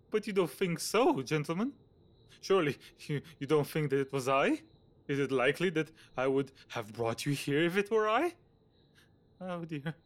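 Man playing a high-pitched, fretful, nervous gentleman. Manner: stammering, anxious, pleading. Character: frightened, fretful, nervous gentleman fearing blame. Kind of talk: audio drama acting.

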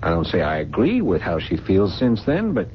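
An actor playing a weak, desperate son who pleads and stammers in a radio mystery drama. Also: male voice, low-pitched, wildly swooping pitch, moderate pace. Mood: evasive, anxious. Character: weak, desperate son, dependent on his mother and mistress. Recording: dull, noisy vintage radio broadcast.

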